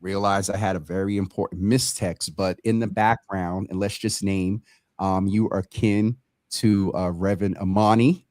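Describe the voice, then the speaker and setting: A man hosting a live community talk show in a conversational, halting way, often repeating words and circling around his point. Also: sits low, fairly even intonation, darkish tone, no room sound, clean studio sound.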